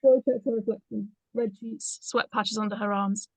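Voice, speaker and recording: A woman's voice: mid-range voice, steady tone, moderate pace, darkish tone, no echo, rough recording. A woman reading stage directions aloud as scripted narration in a screenplay read-through.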